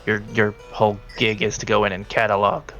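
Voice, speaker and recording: Man, lowish pitch, vocal fry, tabletop game master, halting deliberate delivery, faint music. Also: monotone, fairly bright tone, highs cut off. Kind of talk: narrating a tabletop roleplaying game, partly in character as a briefing handler.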